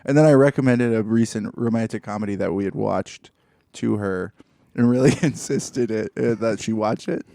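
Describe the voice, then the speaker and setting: A man's voice: lowish voice, normal speed, vocal fry, dark tone, no room sound, studio quality. A man, middle-aged, chatting casually and telling anecdotes with a wry, mock-formal turn of phrase, as a podcast co-host.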